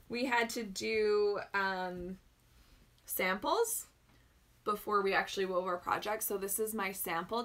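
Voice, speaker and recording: A woman's voice: mid-range voice, sharp tone. A young woman from northern Alberta with an Albertan Canadian accent, chatting casually and rambling as she answers viewer questions on a livestream. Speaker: maker hosting a livestream.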